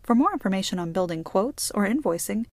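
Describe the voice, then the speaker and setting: A woman reading scripted instructional narration for a software tutorial in a measured, explanatory way. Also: medium pitch, expressive, no echo, clean studio sound.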